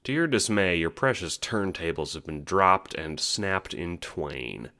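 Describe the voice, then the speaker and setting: A man's voice: sits low, expressive, measured pace, pushed and bright. A man narrating an audio drama in the second person, as if telling the listener their own story.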